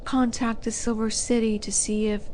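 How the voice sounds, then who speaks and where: A woman playing a flirty, condescending demon in an audio roleplay, teasing with unhurried menace and breathy asides. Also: on the high side, flat delivery, darkish tone, slight background noise, lightly acted. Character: flirty, teasing female demon, haughty would-be buyer of captives. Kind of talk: scripted audio roleplay monologue.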